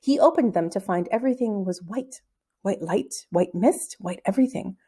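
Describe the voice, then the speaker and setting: A woman reading a children's book aloud as an expressive storyteller who acts out the dialogue. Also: medium pitch, normal speed, breathy edge. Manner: animated, acting out the dialogue.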